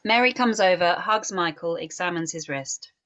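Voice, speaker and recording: A woman narrating stage directions in a script table read, measured and descriptive. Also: fairly low pitch, a bit bright, highs cut off.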